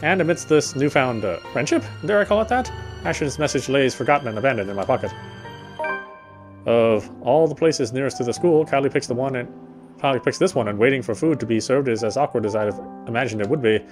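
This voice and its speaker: Male game player as narrator: rapid read-aloud delivery with occasional stumbles, music underneath. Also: medium pitch, animated. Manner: stumbles and rereads phrases. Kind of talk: reading visual novel narration aloud.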